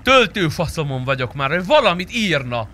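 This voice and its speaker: A man's voice: a little high, sing-song, pushed and bright. A young man streaming a roleplay game, chatting casually and grumbling with frequent swearing. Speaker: gaming streamer. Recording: clear car engine noise behind. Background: game car engines and tire squeals.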